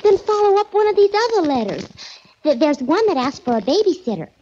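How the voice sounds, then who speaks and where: An actress playing a teasing young office secretary in a 1940s radio drama. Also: female voice, extremely high pitch, sing-song, fairly quick, warm tone. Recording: lo-fi old radio recording with hiss.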